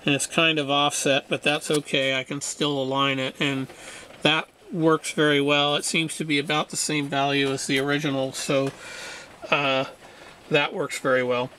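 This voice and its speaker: A man giving an unscripted hands-on repair demonstration, explaining things in a halting, matter-of-fact way. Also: fairly high pitch, flat delivery, sharp tone, slight background noise. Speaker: radio restorer demonstrating repair.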